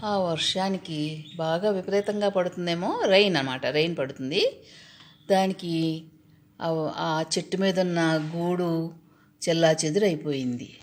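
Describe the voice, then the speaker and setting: A woman's voice: on the low side, a little slow, pauses often. A woman telling a children's story, repeating and explaining key words and putting questions to her young listeners.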